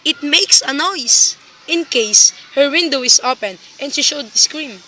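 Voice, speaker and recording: Sharp, high-voiced cold-blooded crime boss played by a woman. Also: animated, unhurried, a little hiss or hum, exaggerated voice, low-quality recording. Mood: businesslike. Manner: commanding, methodical. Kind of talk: amateur radio play acting.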